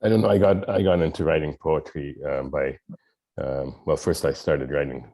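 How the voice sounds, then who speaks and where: A poet whose first language is Hungarian, speaking hesitantly with many restarts on a discussion panel. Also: male voice, very deep voice, fairly even intonation, moderate pace, dark tone. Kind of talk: panel discussion after a reading.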